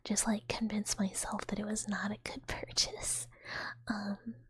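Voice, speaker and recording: Woman streamer whispering softly, very breathy. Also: mid-range voice, flat delivery, a little slow. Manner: playful, rambling, giggly. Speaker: live streamer. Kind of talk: whispered casual livestream chat.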